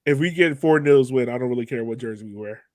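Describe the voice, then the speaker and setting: A man's voice: medium pitch, fast talker, darkish tone, no echo. A man with a mid-range voice talks casually as a soccer podcast co-host. His delivery is informal and upbeat, like a fan among friends. The sound is dry, with little room in it. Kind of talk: casual game-analysis chat.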